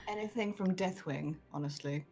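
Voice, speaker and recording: A woman's voice: lowish voice, normal speed, darkish tone. A woman chatting casually and playfully as a game livestream player, happy to swear. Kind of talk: casual chat.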